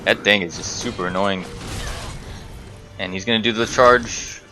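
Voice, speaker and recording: A man's voice: fairly low pitch, fairly even intonation, moderate pace, pushed and bright, faint music behind. A man giving casual storytime commentary while playing a game, rambling, correcting himself and muttering swears at the game. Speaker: gaming video host telling stories while playing.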